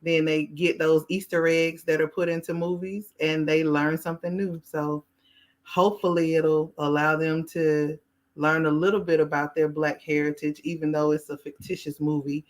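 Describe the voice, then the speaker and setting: A woman's voice: deep voice, flat delivery, normal speed. A woman hosting a podcast, moderating a group chat and laughing easily. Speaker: podcast host.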